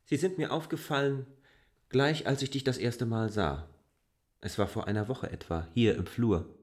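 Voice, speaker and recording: An actor playing a self-assured, defiant land surveyor in a literary radio drama, speaking tersely and frankly. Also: male voice, mid-range voice, normal speed, darkish tone, lightly acted. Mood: frank, matter-of-fact.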